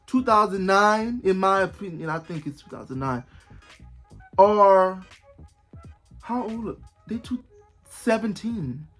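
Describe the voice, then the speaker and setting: A twenty-year-old man vlogging in casual talk, rambling and repeating himself, with bursts of disbelief. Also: high voice. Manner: rambling, repetitive, exclaiming in disbelief.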